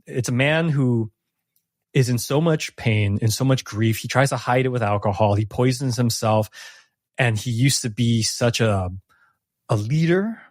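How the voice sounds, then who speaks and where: Male host, game-master type, mid-pitched, chatty and emphatic, very dry sound. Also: measured pace, dry recording. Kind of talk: casual post-game discussion.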